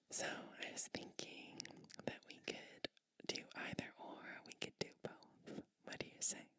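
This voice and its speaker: A woman playing a kindly sleep witch in an ASMR roleplay, speaking in a soft, breathy, soothing whisper. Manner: slow, soothing, gently attentive. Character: kindly sleep witch giving bedtime pampering. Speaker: ASMR host.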